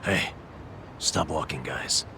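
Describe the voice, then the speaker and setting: Very deep, dry game party member. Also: male voice, takes it slowly, sharp tone, a little hiss or hum, heavily acted. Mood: wary. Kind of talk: English video game dub acting. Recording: music behind dialogue.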